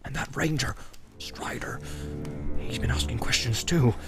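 A man's voice: medium pitch, a little slow, whispered, close to an everyday voice. A man voicing a good-hearted, flustered rustic innkeeper in rambling, stammering, folksy speech for an audiobook. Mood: hushed, fearful. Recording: music behind the voice.

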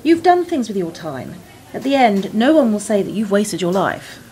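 A woman's voice: medium pitch, very animated, moderate pace, mellow, a little hiss or hum, close to an everyday voice. A woman playing an earnest, inquisitive admirer with a questioning manner in a radio play. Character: eager, inquisitive female fan with a dull job. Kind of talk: radio drama dialogue.

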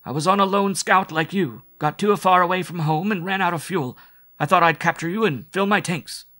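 A man's voice: high-pitched, normal speed, bright, forceful tone, pitched above the performer's own voice. A man voicing a stubborn, defiant captive Martian in a story reading, with terse, dogged speech. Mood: grudging. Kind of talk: audiobook character voice.

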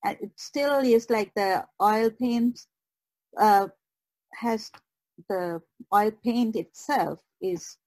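A woman guest artist in casual conversation, with halting, hesitant phrasing. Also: mid-range voice, a little mellow.

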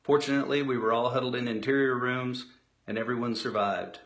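A middle-aged man, a DJ, sharing an earnest story in a sincere, measured way over a webcam from his home office. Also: medium pitch, steady tone, fairly bright tone, rough recording.

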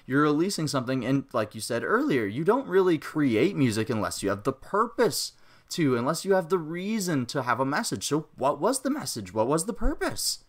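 A man's voice: on the high side, big pitch swings, normal speed. A man interviewing a musician, admiring and effusive, asking long musing questions.

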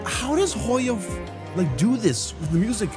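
A man around his thirties giving casual, excited reaction commentary as a streamer, enthusiastic, slangy and full of exclamations. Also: sits high, measured pace. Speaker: streamer reacting to game trailers. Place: small indoor streaming room. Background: game trailer music.

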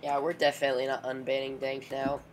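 A man's voice: medium pitch, monotone, fast talker, a little hiss or hum. A young man chatting and complaining casually with friends over voice chat while playing. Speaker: player on a game server.

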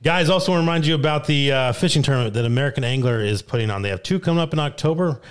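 A young man with a New Jersey accent hosting a weekly fishing report, reading catches from notes at a boom mic in a small studio. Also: animated, clear, resonant tone. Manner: upbeat, reads names and catches in quick lists. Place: small studio with brick wall, indoors. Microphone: boom mic on arm, headphones.

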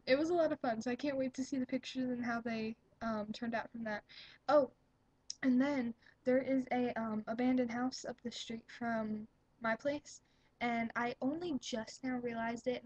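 A young woman with a slightly high, breathy voice chats to her webcam in an upbeat, rambling way. The sound is dry and close, with no room around it. She drifts from one thought to the next, full of fillers and little laughs. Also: a little mellow, no echo, rough recording. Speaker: vlogger. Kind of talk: casual webcam chat.

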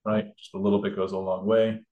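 A man's voice: on the low side, level tone, fairly quick, lo-fi sound. A man demonstrating a recipe in a kitchen, explaining each step casually with many fillers and restarts. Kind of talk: casual cooking demo. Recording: echoey room.

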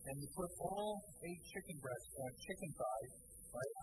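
A middle-aged man walks a co-host through a recipe in a studio kitchen, his voice sitting a little high and swinging widely in pitch. He teases and laughs easily as he instructs, with music playing under the TV audio. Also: huge pitch swings, normal speed, low-quality recording. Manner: teasing, instructive, laughs often. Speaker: cooking show host demonstrating cookware. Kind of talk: casual studio cooking demo.

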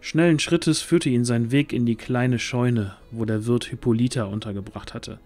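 Male narrator for fantasy audio drama: medium pitch, scripted storytelling, light music bed. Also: steady tone, normal speed, a little mellow.

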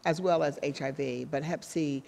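A woman's voice: low-pitched, gravelly, a little mellow, a little hiss or hum. Woman chairing a council committee, echoing. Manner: measured, appreciative, with many thanks and fillers. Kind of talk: formal council proceedings. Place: large city council chamber. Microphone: desk microphone. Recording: long reverb of a large chamber.

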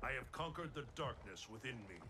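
A man acting as a stern thunder god in a game cutscene. He speaks at a middling pitch with solemn, formal authority, amid very loud background ambience. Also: expressive, brisk, natural voice. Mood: solemn, resolute. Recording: very noisy battle ambience behind the voice.

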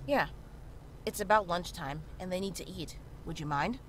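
A woman playing a calm, frank town healer in a horror visual novel, speaking in a measured, reassuring way. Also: lowish voice, natural voice, poor audio. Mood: reassuring, practical. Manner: measured, matter-of-fact, reassuring. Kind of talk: visual novel character dialogue. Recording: clearly audible background noise, ambience.

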